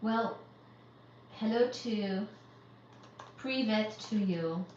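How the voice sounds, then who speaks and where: Woman in her 30s chatting on a livestream, slow unhurried talk, far from the mic in an echoey room, dull sound. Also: medium pitch, a little mellow, a little hiss or hum. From Central Asia. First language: Uzbek. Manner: reads viewer comments aloud and greets them one by one. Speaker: livestream host. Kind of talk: live-stream chat. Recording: dull, roomy, distant from the mic.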